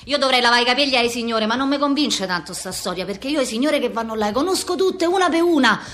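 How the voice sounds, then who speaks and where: A woman's voice: on the high side, lively intonation, fast talker, fairly bright tone. A woman playing a brash, foul-mouthed young street girl with a Roman accent, in a blunt, agitated theatrical monologue. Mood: agitated, indignant.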